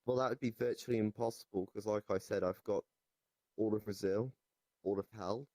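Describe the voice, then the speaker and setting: A young man improvising comedic chat in a deadpan, hesitant way. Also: fairly low pitch, brisk, dry recording. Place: online voice chat in a virtual reality game.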